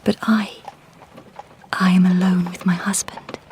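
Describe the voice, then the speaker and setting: A whispery young bride thinking to herself in a period radio play, pretty flat, faint noise. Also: female voice, on the low side, a little slow. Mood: wistful. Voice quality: breathy, whispered. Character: earnest, brooding young Victorian bride. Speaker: lead actress and narrator in a radio drama. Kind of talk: intimate inner-monologue radio acting.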